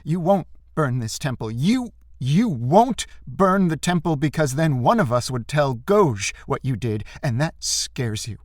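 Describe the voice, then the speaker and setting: A man's voice: on the high side, big pitch swings, unhurried, hardly any pauses, no room sound, clean studio sound. Anxious, hesitant temple man. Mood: tense defiance. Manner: hesitant, stammering, formal wording. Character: anxious, timid temple man finding defiance. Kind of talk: dramatic audiobook acting.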